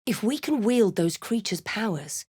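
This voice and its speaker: A woman playing a wry, watchful adventurer in a fantasy video game, delivering measured, understated dramatic narration and short remarks. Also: medium pitch, big pitch swings, darkish tone, breathy edge, no echo, clean studio sound. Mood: grave, wary. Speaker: player-character voice actor.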